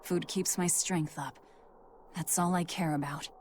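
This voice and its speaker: An actress playing a cold, guarded young female demon fighter with a curt, deadpan manner in a video game. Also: lowish voice, measured pace, noisy background, lightly acted. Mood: bitter, resigned. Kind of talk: English video game voice acting.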